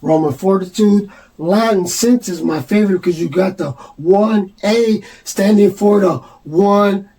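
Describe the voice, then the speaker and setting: A man's voice: high-pitched, unhurried, warm tone, breathy edge. A man in a rambling, earnest monologue, presenting his own numbers theory and reading definitions aloud. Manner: meandering, reads dictionary definitions aloud, casual and earnest.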